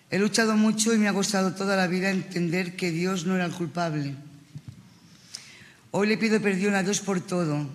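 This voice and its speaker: Female, middle-aged, Spain accent, inmate delivering a prepared testimony; long hall reverb. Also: fairly low pitch. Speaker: prison inmate giving testimony. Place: speaking to an assembly in a prison. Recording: reverberant large hall, public address.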